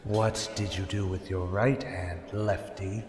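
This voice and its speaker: Slow, echoing male avenger played by an actor. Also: sits low, flat delivery, a little mellow. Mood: menacing, taunting. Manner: deliberate, ominous, coaxing and insistent. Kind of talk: radio drama acting in old serial manner. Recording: echoey hall with long reverb.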